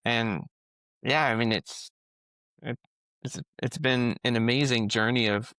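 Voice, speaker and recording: A man, a guest author on a podcast, speaking earnestly and haltingly, often restarting his sentences. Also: mid-range voice, steady tone, pushed and bright, clear, resonant tone, no echo. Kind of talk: casual conversation.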